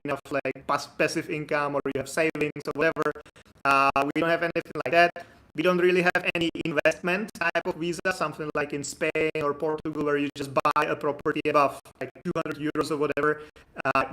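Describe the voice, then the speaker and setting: A man's voice: a little high, pushed and bright, low-quality recording. A man with a Czech accent, a Czech native speaker, talking casually on a live stream, chatty and joking with viewers. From Czech Republic. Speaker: immigration consultant hosting a live stream.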